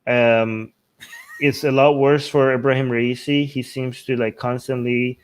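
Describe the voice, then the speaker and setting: A man's voice: mid-range voice, little pitch movement, measured pace, smooth. Rambling Persian-speaking man in casual political talk. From Iran. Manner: rambling, repeating and rephrasing himself. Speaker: talk show host.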